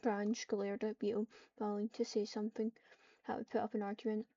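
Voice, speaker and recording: A woman's voice: mid-range voice, little pitch movement, a little fast, mellow. A woman improvising story narration as a player in an online game roleplay. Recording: dull, distant home microphone.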